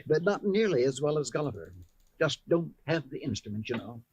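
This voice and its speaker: Florid, animated radio showman. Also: fairly high pitch, a little fast, highs cut off, slight background noise. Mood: earnest. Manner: florid, theatrical, self-important. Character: grandiose, earnest showman who trains singing mice. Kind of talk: 1950s radio comedy-drama acting.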